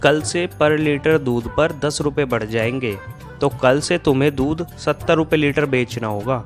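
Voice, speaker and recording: A man's voice: medium pitch, normal speed, lightly acted. A man playing a friendly, matter-of-fact male milk supplier in a Hindi cartoon story. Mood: explaining. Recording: music behind the voice.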